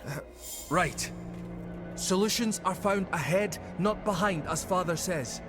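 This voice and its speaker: An actor playing an earnest, studious young druid who sounds unsure of himself, in a video game. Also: male voice, sits high, measured pace, music in the background. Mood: anxious. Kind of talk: video game dialogue acting.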